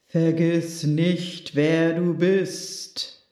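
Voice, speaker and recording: Woman doing a candle spirit, super slow and solemn, kinda echoey. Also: low-pitched, flat delivery, mellow. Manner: slow, solemn, allows no objection. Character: calm commanding talking candle flame, keeper of fire.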